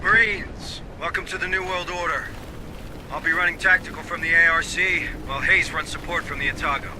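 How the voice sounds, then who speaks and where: A man acting as a stern, wary squad commander in a sci-fi video game. His voice sits a little high and he gives clipped, curt orders. Engine-like ambient noise runs clearly behind him. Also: fairly even intonation, a little fast, lightly acted. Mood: brisk, commanding.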